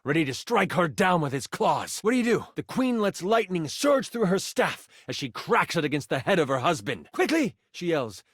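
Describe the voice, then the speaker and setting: A man's voice: on the high side, very animated, moderate pace, hardly any pauses, fairly bright tone, breathy edge, no echo, studio quality, full character voice. A man playing a game master, narrating fantasy battles in a theatrical, suspenseful storytelling style. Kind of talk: dramatic tabletop fantasy narration.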